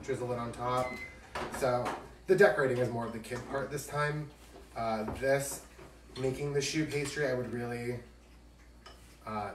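A man hosting a casual live cooking demonstration, chatty and joking with campy asides. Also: mid-range voice. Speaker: cooking show host. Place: home kitchen, indoors. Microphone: camera a few steps away. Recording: echoey, distant, noisy kitchen with dish clatter.

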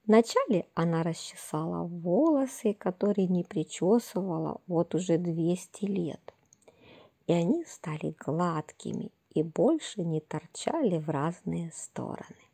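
Female storyteller for children's fairy tales: very slow, gentle delivery, lowish voice with some creak and a soft breathy edge. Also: lively intonation. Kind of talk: slow scripted fairy-tale narration for children.

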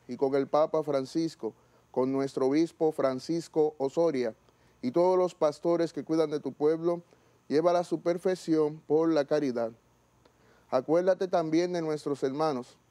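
Solemn priest with a mellow voice. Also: male voice, on the high side. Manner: solemn, measured liturgical recitation. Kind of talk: reciting eucharistic prayer at mass. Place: small chapel studio, indoors.

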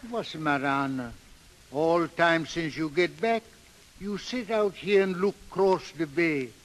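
An actor as a fretful, high-voiced old Swede. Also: male voice, measured pace, slight background noise, close to an everyday voice. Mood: worried. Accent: Swedish. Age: older. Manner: broken English, grumbling. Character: stubborn, fretful old Swedish seaman father. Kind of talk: 1930s radio acting. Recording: old reverberant radio broadcast.

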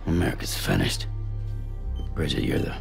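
A jaded, defiant loner in a cinematic game. His voice is extremely low and gravelly, terse and cynical, with music clearly behind it. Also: big pitch swings, moderate pace, exaggerated voice. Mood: bitter, defiant. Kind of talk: cinematic video game acting.

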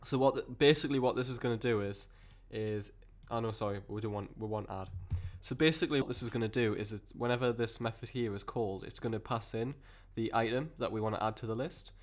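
Croaky man with a cold explaining code. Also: lowish voice, fast, few pauses. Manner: rambling, self-correcting explanations with many fillers. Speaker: programming tutor. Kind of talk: screen-recorded coding tutorial.

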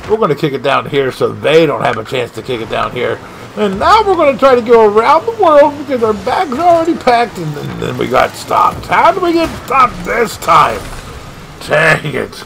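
A man streaming a video game live, giving excitable play-by-play with shouted catchphrases. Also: sits high, moderate pace, vocal fry, slight background noise, low-quality recording. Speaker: gaming streamer. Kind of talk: live gameplay commentary.

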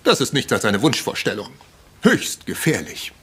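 A man playing a rationalist, dismissive physician in a German crime radio drama, husky and bluntly clinical. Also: mid-range voice, sing-song, moderate pace, bright, forceful tone, full character voice.

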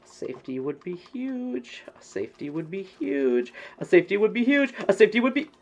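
A man's voice: high-pitched, sing-song, fairly quick. A young adult man giving casual, joking gameplay commentary, often repeating his phrases over and over. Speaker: game streamer. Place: bedroom, indoors. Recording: dull, lo-fi webcam stream audio.

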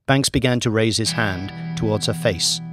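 A man reading a scripted audiobook story in a measured, deliberate storytelling manner. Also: on the low side, music in the background. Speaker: audiobook narrator, author reading own book.

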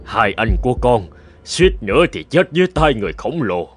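A man voicing a frail, loving old father in a fairy-tale cartoon, with a sorrowful, worried and gentle manner. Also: medium pitch, big pitch swings, fairly quick, close to an everyday voice. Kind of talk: animated fairy-tale acting.

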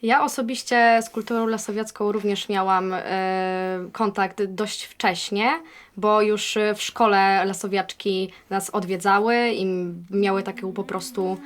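A young woman sharing personal recollections informally, with a halting delivery. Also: medium pitch, steady tone.

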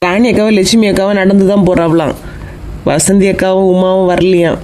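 A woman's voice: medium pitch, steady tone, fairly quick, darkish tone, slight background noise, lightly acted. Woman acting bossy young village wife, Kanyakumari accent. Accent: Kanyakumari Tamil. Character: sharp-tongued, affectionate young village wife and mother. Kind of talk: comedy cartoon voice acting in colloquial dialect.

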